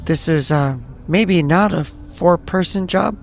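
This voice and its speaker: A man's voice: sits high, takes it slowly, vocal fry, a little hiss or hum, heavily acted. A man playing a tentative new profiler agent in a tabletop roleplay, speaking in short, hesitant phrases. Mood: tentative, careful. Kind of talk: improvised tabletop roleplay dialogue.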